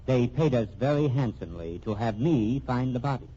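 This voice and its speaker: An actor playing an earnest accused man dictating a formal, deliberate statement in a vintage radio mystery, husky-voiced. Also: medium pitch, animated, dark tone. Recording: muffled old radio recording.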